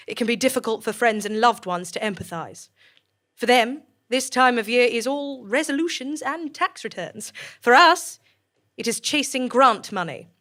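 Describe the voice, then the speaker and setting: A woman playing a formal, composed director of a sinister research facility, giving measured, officious speeches to staff in an audio drama. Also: fairly high pitch, a bit bright, lightly acted.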